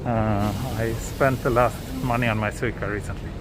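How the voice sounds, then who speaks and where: A man from southern Sweden chatting casually with viewers during a live walk, relaxed, with many ums and restarts. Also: lowish voice, normal speed, music in the background, low-quality recording. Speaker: live-streaming walk vlogger. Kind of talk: casual live-stream chat answering viewers. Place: outdoors on city streets at night. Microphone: handheld phone camera. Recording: street noise behind the voice. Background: traffic and street ambience.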